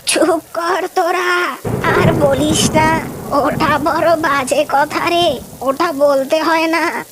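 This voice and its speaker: A woman voicing a ghost disguised as a frail old granny in a horror cartoon, with a drawn-out delivery. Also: high-pitched, steady tone, noisy background. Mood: uneasy scolding. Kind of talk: animated horror cartoon voice acting.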